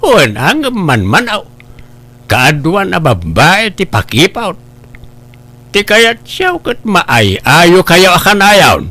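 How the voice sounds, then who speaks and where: A man's voice: sits high, wildly swooping pitch, slow pace, sharp tone, full character voice. A man with an Ilocano accent playing an impatient, pushy, complaining suitor in a comedy drama. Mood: exasperated. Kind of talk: comedy radio-style drama acting.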